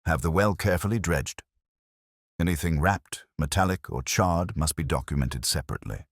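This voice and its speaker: A man voicing an incisive, commanding English detective in an audiobook, with measured, clipped delivery. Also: low voice, a little hiss or hum. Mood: cool, pointed.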